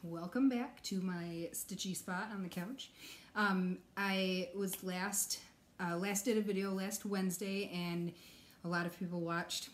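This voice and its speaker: A chatty young woman talks to her viewers from a couch at home, filling her pauses with ums and soft laughs. Her voice is a little low-pitched and still recovering from an illness, with a slight cough left in it. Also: fairly even intonation. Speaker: stitching vlogger. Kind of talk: casual chat to camera. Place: living room couch.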